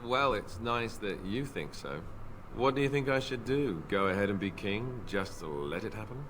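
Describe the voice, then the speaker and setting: Reluctant young royal warrior, self-deprecating and sarcastic, very animated pitch, noisy game backdrop. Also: male voice, normal speed. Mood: wry, uneasy. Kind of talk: scripted video game acting.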